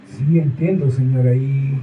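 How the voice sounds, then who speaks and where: A man's voice: mid-range voice, fairly even intonation, normal speed, slight background noise, natural voice. A man playing a fawning, sentimental male radio host who gushes and apologizes, acted live on a theater stage. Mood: nostalgic. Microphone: far camera. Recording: dull, roomy stage from far off.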